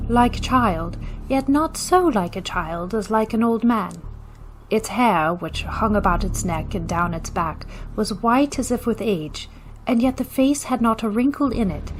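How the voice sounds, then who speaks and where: A woman narrating a ghost tale for radio drama, grave, suspenseful and deliberate. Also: on the high side, animated, slight background noise, poor audio. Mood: measured, suspenseful. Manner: measured, deliberate.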